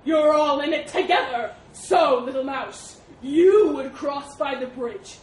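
A woman voicing a huge, arrogant male storybook elephant, bullying and imperious, with a booming, blaring delivery. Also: slow pace, darkish tone. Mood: scornful roaring. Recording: echoey, distant mic, faint rumbling noise.

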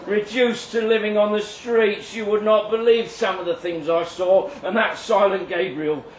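A man's voice: high voice, fairly even intonation, normal speed, close to an everyday voice. A man playing a bitter, indignant ex-cult survivor in a live dramatic story reading. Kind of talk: dramatic monologue read live. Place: live reading in a pub venue. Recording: distant echoey live room, faint noise.

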